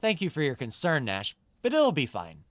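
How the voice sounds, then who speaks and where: Earnest, gentle young sorceress. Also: female voice, low voice, huge pitch swings, moderate pace, slight background noise. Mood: calm. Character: earnest, gentle young female heir to magic guild. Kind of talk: video game voice acting.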